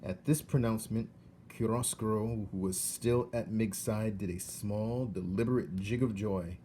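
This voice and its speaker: A man narrates a storybook in a fairly low voice. He takes it very slowly, with measured phrasing and dramatic pauses, over faint background noise. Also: fairly even intonation, mellow. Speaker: read-aloud narrator.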